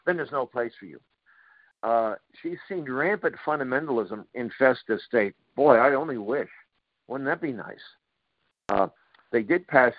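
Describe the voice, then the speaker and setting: A middle-aged man delivers a talk radio monologue, sarcastic and halting, full of uhs and restarts. His voice sits at an ordinary male pitch. It comes through a dry, dull lo-fi radio sound. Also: no room sound. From Boston, Massachusetts. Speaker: radio talk show host.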